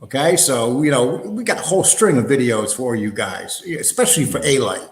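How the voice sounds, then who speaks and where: A man's voice: mid-range voice, expressive, normal speed. A man hosting a casual livestream, talking in a blunt, combative, streetwise way. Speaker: show host. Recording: roomy, echoey sound.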